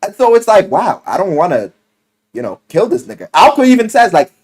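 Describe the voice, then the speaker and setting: A man telling a story casually in slang and swearing, as an animated video game reviewer who acts out what people say. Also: sits high, fairly quick. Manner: animated storyteller, acting out quoted lines.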